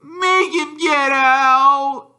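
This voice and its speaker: A spoiled storybook boy, shrill and very high. He bawls slowly and loudly, whiny and demanding, dragging out his words. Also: full character voice. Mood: whining tantrum. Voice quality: whiny, loud. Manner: drawn-out bawling.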